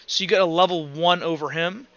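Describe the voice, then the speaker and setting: A man's voice: fairly high pitch, steady tone, brisk, muffled recording, noisy background. A man acting as game master, casually thinking aloud as he narrates a tabletop game and explains the rules. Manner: informal, conversational, thinking aloud. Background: soft music.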